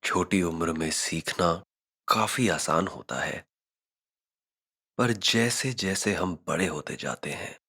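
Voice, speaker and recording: A man narrating a scripted guided meditation, giving measured instructions with frequent pauses. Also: low-pitched, fairly bright tone, slightly rough.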